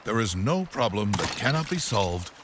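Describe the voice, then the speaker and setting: An actor playing a wordy, pompous storybook narrator in a video game, wryly disapproving. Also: male voice, fairly low pitch, very animated, normal speed, very noisy. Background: music.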